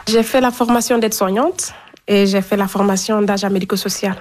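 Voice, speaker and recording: A woman's voice: medium pitch, fairly even intonation, fairly quick. A young woman being interviewed, giving a casual, personal account of her own life. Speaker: interviewee in a radio documentary.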